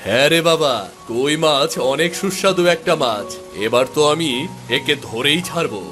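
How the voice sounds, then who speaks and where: A man's voice: on the high side, expressive, moderate pace, close to an everyday voice. A man playing a determined village father talking to his young son in a children's cartoon. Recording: music behind the voice.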